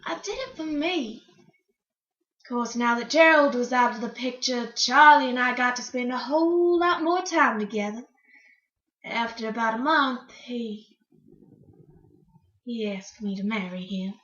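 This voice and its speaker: In a roomy recording, a woman acts out a dreamy yet defiant female country singer in a stage monologue. Her voice is very high and bright, and she tells her story in a folksy, dramatic way with hesitant pauses. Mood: confiding. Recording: roomy, slightly dull recording.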